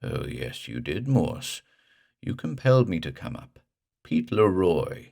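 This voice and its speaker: A man voicing a drowned dead man risen from a lake, speaking in a slow, guttural, gurgling drawl. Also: low voice, big pitch swings, gravelly, a little mellow, heavily acted. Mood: menacing.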